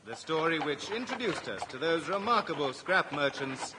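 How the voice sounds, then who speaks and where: A man's voice: a little high, animated, moderate pace, noisy background. A man making a scripted introduction as a radio programme announcer.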